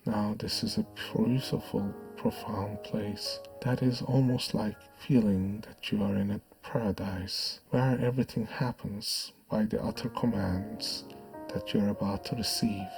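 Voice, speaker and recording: A man speaking as a hypnotist, narrating a guided trance in a soothing, measured, hushed delivery with lingering pauses. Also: lowish voice, steady tone, gravelly, soft background music, rough recording.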